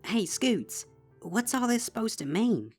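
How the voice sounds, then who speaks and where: Man putting on a high, scared young filly voice. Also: sing-song, measured pace, faint music behind, heavily acted. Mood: uneasy. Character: frightened suspicious filly, young girl pony.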